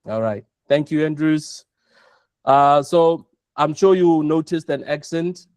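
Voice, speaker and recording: A South African man presenting an online webinar, speaking in a measured way and repeating his points for emphasis. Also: fairly high pitch, a little mellow, clean tone, no echo, clean studio sound. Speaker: webinar host, operations chief.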